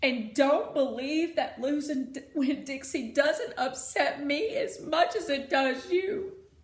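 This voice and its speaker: A woman voicing a sorrowful, weary preacher father in a children's story, soft-spoken and halting. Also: high voice, voice pushed up. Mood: tearful. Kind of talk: children's audiobook character acting. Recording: roomy sound with faint noise.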